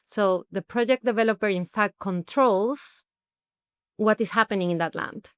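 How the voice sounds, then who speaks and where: A woman from Peru, an NGO research head, explaining things in an interview with measured, rhetorical questions. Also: mid-range voice, clean tone, no echo, clean studio sound.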